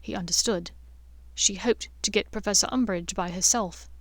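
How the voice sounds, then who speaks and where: A woman's voice: mid-range voice, moderate pace, no room sound. A woman reading a scripted story as an audiobook narrator. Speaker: audiobook narrator of fan fiction.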